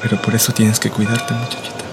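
A man's voice: on the low side, monotone, fast talker, loud music behind the voice, close to an everyday voice. An actor playing a tender, reassuring young Mexican migrant saying goodbye to his sweetheart in a radio drama.

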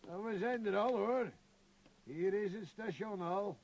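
A rustic coachman in a radio play. The voice is high and gravelly. He drags out his grumbling protests. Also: unhurried, darkish tone.

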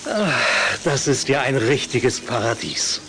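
A man's voice: medium pitch, lively intonation, a little slow. A man playing a cheerful, unworried, teasing middle-aged father in a German audio drama. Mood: delighted. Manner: teasing, enthusiastic, chuckling. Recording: noisy old audio drama recording.

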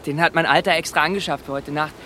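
An actor playing an eager, awkward teenage boy, halting and colloquial, in a German radio play. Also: fairly high pitch, fairly even intonation, bright, forceful tone, a little hiss or hum.

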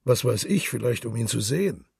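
A man voicing a jealous, wounded husband with a husky, breathy voice, subdued and coldly ironic in a radio drama. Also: medium pitch, animated, a little fast, a little mellow, no echo, studio quality, voice pushed up, heavily acted. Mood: cold irony.

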